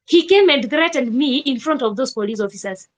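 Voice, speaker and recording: A young Kenyan woman telling a personal story in a vlog, with animated emphasis and repeated rhetorical questions. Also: sits high, brisk. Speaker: vlogger.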